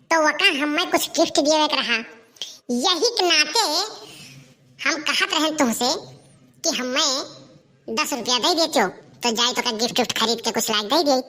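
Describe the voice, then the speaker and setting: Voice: high voice, bright, forceful tone. A performer voicing a cheeky, scheming village boy in a comic cartoon, whining and insistent, with an Awadhi accent. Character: cheeky scheming village lad, lovestruck, blackmailing his uncle.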